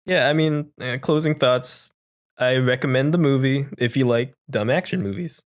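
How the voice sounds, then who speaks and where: A man's voice: mid-range voice, moderate pace. A man hosting a podcast, chatting about films in an informal, jokey and rambling way. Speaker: podcast host. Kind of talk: casual film discussion chat.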